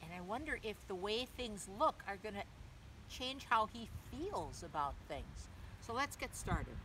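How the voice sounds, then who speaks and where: A woman reading a picture book aloud to young children, gently, in simple phrases, pausing to ask the listener questions. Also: mid-range voice, sing-song. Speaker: children's story reader, teacher. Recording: clearly noisy background.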